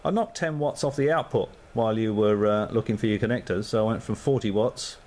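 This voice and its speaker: A man's voice: fairly low pitch, a little fast, a little mellow. A man on amateur radio, chatting casually and thinking aloud with lots of fillers. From Australia.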